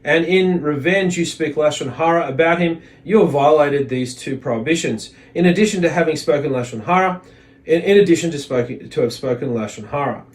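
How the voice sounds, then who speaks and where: A man's voice: a little high, brisk. A man giving an informal religious lesson, reading aloud and explaining in a hesitant, reflective manner. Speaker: religious teacher.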